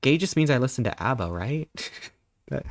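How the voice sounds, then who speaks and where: A man in his thirties, a live streamer chatting casually and greeting viewers, rambling playfully with sudden squeaky jumps in pitch. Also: sing-song, normal speed, background noise. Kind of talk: casual live-stream chat reading chat messages.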